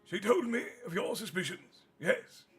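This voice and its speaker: A man's voice: high-pitched, expressive, fairly bright tone, voice pushed up. A man putting on a wise old headmaster voice. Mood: measured. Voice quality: measured, courteous. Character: wise elderly male headmaster, calm and gently authoritative. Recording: faint music behind.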